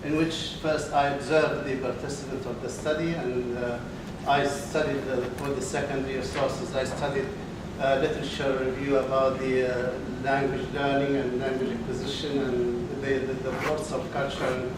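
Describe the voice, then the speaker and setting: Halting man in echoey hall. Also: medium pitch, little pitch movement. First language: Arabic. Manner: halting, frequent filler pauses. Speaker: conference presenter. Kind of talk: academic research presentation. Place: conference hall. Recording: echoey hall, distant mic, background noise.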